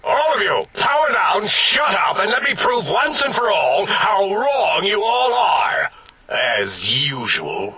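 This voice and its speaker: A pompous, irritable robotic scientist from a video game. The voice is harsh and very sharp, swinging widely in pitch. He over-enunciates with bombastic indignation. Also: huge pitch swings, slow pace, breathy edge, heavily acted. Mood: furious. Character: pompous, irritable mad-scientist brain in a machine. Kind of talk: theatrical comic video game acting.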